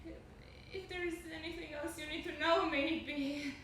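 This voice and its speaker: A woman acting a frightened, pleading woman in a live stage play, with an anxious, halting, stammering delivery. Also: sits high, steady tone, close to an everyday voice. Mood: nervous pleading. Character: frightened, pleading woman complicit in a trafficking scheme. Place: indoor stage of a live theatre performance. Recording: echoey theatre, distant mic, faint noise.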